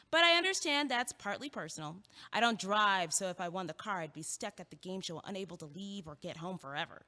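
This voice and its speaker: A woman's voice: medium pitch, bright, forceful tone. A young woman playing an earnest, stubbornly logical, feisty student in an audio play. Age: young adult. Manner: emphatic, argumentative. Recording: echoey hall with long reverb.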